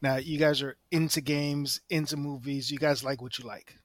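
A man's voice: medium pitch, fairly even intonation, normal speed, no room sound. A man co-hosting a podcast, chatting casually with the group. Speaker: podcast co-host. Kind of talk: casual chat.

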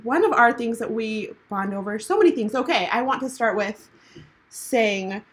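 A woman's voice: on the high side, lively intonation, moderate pace. A woman hosting a podcast in a bubbly, casual chat, laughing often. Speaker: podcast host.

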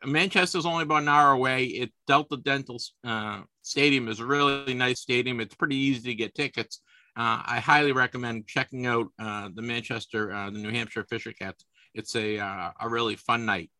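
Bright-voiced man in his fifties, dry sound. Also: medium pitch, steady tone, fairly quick, no room sound, rough recording. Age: 50s. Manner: chatty, repeats words and restarts often. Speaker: podcast host. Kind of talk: casual sports podcast chat.

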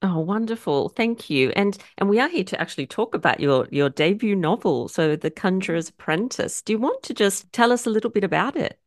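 A woman's voice: medium pitch, animated, fairly quick, few pauses, no room sound, studio quality. A woman hosting a history podcast, welcoming and encouraging her guest. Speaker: podcast host. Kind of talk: podcast interview.

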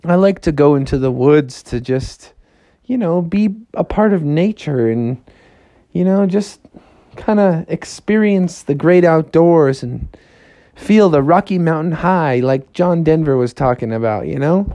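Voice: medium pitch, unhurried, dry recording, close to an everyday voice. A storyteller voicing a big, easygoing male elephant that can fly, in a bedtime story told to a child. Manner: easygoing, relaxed. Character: big friendly male flying elephant, laid-back.

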